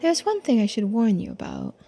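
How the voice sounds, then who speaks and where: A woman voicing a poised, unflappable female investigator in a video game, polite and gently needling in measured, formal phrases. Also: fairly high pitch, wildly swooping pitch, gravelly, soft background music, heavily acted. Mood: matter-of-fact. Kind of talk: game character voiced in a playthrough.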